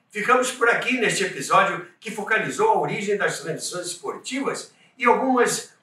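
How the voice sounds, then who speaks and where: A Brazilian man narrates as a podcast host, unhurried and fairly high-voiced for a man. His delivery is measured and presenterly, recorded in an echoey room some way from the microphone. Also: sharp tone. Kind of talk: scripted narration. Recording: roomy echo, distant from microphone.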